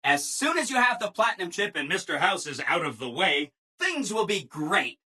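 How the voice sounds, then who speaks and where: Game robot assistant: bright, very animated, ingratiating, relentlessly upbeat people-pleaser. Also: male voice, high voice, normal speed, slightly rough, full character voice. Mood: chipper, helpful. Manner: chipper, ingratiating, emphatic, apologetic people-pleaser. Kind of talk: scripted video game dialogue.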